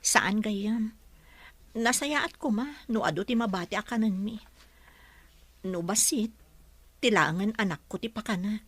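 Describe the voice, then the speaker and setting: A woman's voice: mid-range voice, unhurried, pauses often, no room sound, lightly acted. A woman playing a struggling, sorrowful young mother in an Ilocano radio drama. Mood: worried.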